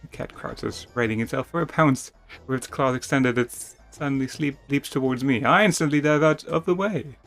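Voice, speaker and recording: A man's voice: mid-range voice, moderate pace, a bit bright. A man reading a text game aloud as theatrical narration, stumbling and rereading now and then, with casual asides. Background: music.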